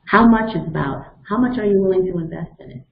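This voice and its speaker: A woman's voice: fairly low pitch, quick pace, gravelly, a little hiss or hum. A woman in her fifties leading a motivational webinar, explaining step by step and telling personal stories in an earnest way. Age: 50s. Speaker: webinar facilitator and coach. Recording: echoey, phone-like narrow sound.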